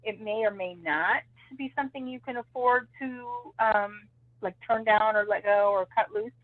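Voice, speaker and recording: A woman's voice: medium pitch, no echo. Woman psychic on a tinny phone line. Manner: hedging, repeats "I feel like". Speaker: psychic reader. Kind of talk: live call-in psychic reading.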